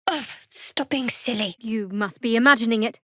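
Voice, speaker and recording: A woman's voice: on the high side, moderate pace, sharp tone, dry recording. A woman playing a guarded, sardonic half-elf cleric in a fantasy video game, with a dry delivery.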